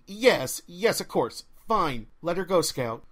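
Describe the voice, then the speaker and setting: Man voicing an exasperated, high-pitched young witch. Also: sing-song, takes it slowly, a bit bright, exaggerated voice. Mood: relieved, brisk. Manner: emphatic, irritated, blurting. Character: exasperated young woman witch, bossy and excitable. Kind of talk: audiobook character voice.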